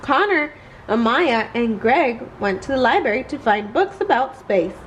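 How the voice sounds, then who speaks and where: A woman's voice: a little high, lively intonation, a little slow, a little hiss or hum, rough recording. A woman reading a children's bedtime story aloud, expressively and carefully. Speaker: storybook reader.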